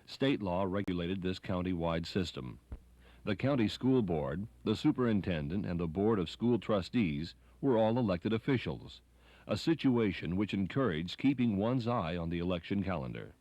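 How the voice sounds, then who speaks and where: A man's voice: low-pitched, animated, normal speed, darkish tone, dry recording. A man reading scripted narration for a documentary.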